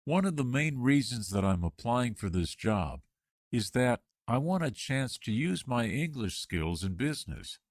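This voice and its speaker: Expressive man reading interview answers. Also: mid-range voice, unhurried, dry recording, clean studio sound. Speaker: job candidate in a practice interview. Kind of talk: scripted dialogue for English learners.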